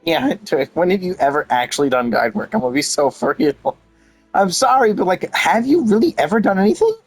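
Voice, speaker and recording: A man's voice: high-pitched, very animated, a little fast, soft background music. A young man giving casual, rambling game commentary with enthusiasm, often repeating himself. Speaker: game streamer.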